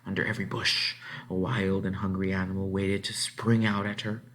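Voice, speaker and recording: A man narrating a children's book aloud in an expressive storytelling style, with dramatic phrasing and drawn-out words. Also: sits low, slight background noise.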